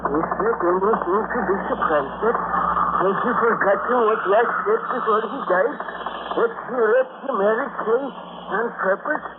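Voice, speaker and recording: An actor playing a brooding, suspicious ship's helmsman in an old-time radio drama, speaking in an ominous, deliberate way. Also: high-pitched, lightly acted. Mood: ominous, urgent. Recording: noisy, narrow-band old radio recording.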